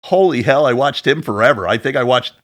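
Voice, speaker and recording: A man in his forties with a deep voice, co-hosting a podcast and chatting casually from his home setup, rambling a little. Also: animated, a little fast. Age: 40s. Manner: chatty, rambling, self-deprecating about sound gear. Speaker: podcast co-host. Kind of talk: casual chat. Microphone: studio dynamic mic at home.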